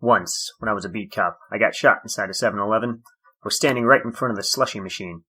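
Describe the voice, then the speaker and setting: A man playing a dour police detective with a husky, forceful voice, needling with dry sarcasm in a noir comedy audio drama with music behind. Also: lowish voice, quick pace. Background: background music.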